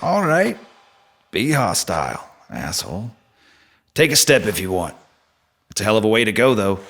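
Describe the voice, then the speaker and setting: Audio drama role, male actor: streetwise male stranger from the underground, lowish voice, highly animated, profane and probing. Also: moderate pace, full character voice. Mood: taunting, darkly frank. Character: streetwise, needling male stranger living down in subway.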